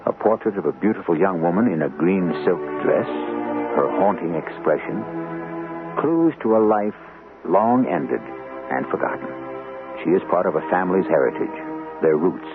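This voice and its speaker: An actor as a radio mystery host, narrating in a deliberate, portentous, dramatic style. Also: male voice, deep voice, lively intonation. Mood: brooding, portentous. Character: grave, brooding male mystery storyteller host. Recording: tinny radio recording, music behind.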